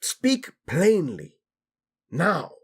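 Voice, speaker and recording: Courtly prince, male voice actor: slow, husky, imperious yet teasing, romantic audio drama over music. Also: on the high side, wildly swooping pitch, exaggerated voice. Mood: impatient, commanding. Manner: formal, deliberate speech sprinkled with Spanish. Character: haughty, teasing Spanish-speaking fantasy prince, courtly and imperious. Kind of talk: scripted romantic audio roleplay.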